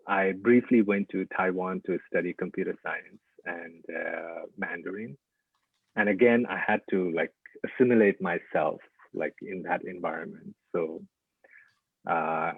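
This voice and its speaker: A man from Nepal presenting his artwork in a halting talk, with frequent "uh" and "like" fillers. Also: low-pitched, creaky, slightly rough, no echo. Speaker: artist presenting his work. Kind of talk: informal lecture with slides. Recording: tinny, dry phone-like audio.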